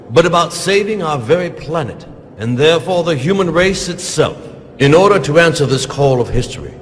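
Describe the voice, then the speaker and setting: An actor playing a smooth, evasive corporate scientist in a game cutscene. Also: male voice, mid-range voice, slight background noise, close to an everyday voice. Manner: polished, dismissive, controlled. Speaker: company scientist giving speeches.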